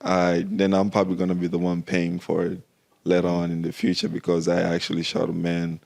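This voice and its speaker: A man's voice: low-pitched, monotone, a little fast, gravelly, darkish tone. A young man from Kenya, a military veteran guest on a podcast, talking casually, laughing often and repeating phrases for emphasis. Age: young adult. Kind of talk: casual conversation.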